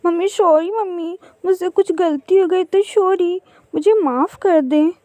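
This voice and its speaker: A woman's voice: extremely high pitch, unhurried, dark tone, dry recording, pitched above the performer's own voice. A woman voicing a tiny, sweet baby girl bird in a cartoon story, pleading and repeating words like a hungry child. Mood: hurt, apologetic.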